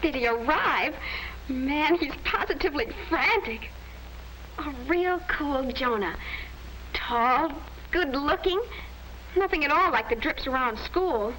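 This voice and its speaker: An actress playing a gushing, hot-tempered American teenage sister in a 1950s sitcom-style film. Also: female voice, high-pitched, animated, slow, pushed and bright, exaggerated voice. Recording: noisy vintage 1950s film soundtrack.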